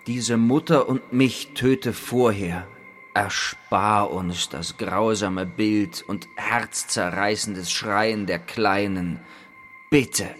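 A despairing old father speaks in a deep voice, extremely slowly. He is grave, halting and hushed, in radio tragedy style with music behind. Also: steady tone. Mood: desperate, resigned. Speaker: actor. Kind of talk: theatrical radio play tragedy.

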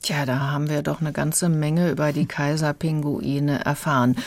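A woman's voice: sits low, level tone, normal speed, darkish tone. A woman giving a radio review of children's audio, retelling and judging it in plain, explanatory words.

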